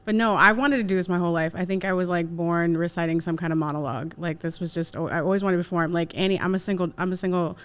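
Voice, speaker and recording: Smooth, low-pitched woman. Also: steady tone, quick pace, few pauses. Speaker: actress, panel guest. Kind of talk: casual panel conversation, personal storytelling.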